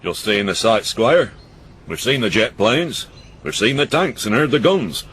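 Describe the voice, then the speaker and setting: A man's voice: on the low side, big pitch swings, unhurried, a bit bright, full character voice. A man playing a scornful old uncle. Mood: mocking. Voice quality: gruff, rambling, scornful. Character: cantankerous old northern uncle, sharp-tongued and mocking.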